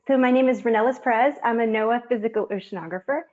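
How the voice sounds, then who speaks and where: A woman's voice: a little high, quick pace, a little mellow. A woman from Miami, a physical oceanographer, giving a friendly explanatory science demonstration over a video call with frequent ums. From Miami, Florida. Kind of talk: online science presentation and demo. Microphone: webcam on a video call. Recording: dull lo-fi video call audio.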